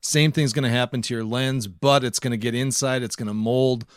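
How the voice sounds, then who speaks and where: A man talks casually as a podcast co-host in a close, dry recording. His voice sits at a medium pitch, and he jokes along, often laughing through his sentences. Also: fairly even intonation, fairly quick, smooth, no room sound. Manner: jokey, laughs often while talking.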